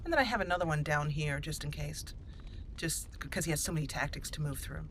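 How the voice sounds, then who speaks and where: A woman's voice: sits low, fast talker, a bit bright, a little hiss or hum. A woman hosting a gaming channel, giving casual, chatty gameplay commentary and explaining as she goes.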